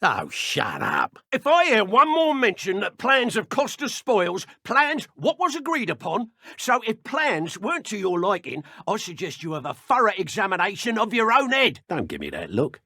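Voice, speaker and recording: Sneering British dark wizard henchman, swinging pitch. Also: huge pitch swings, moderate pace, hardly any pauses, no room sound, clean studio sound, full character voice. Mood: irritated, threatening. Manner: taunting, theatrical, colloquial. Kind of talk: video game character voice acting.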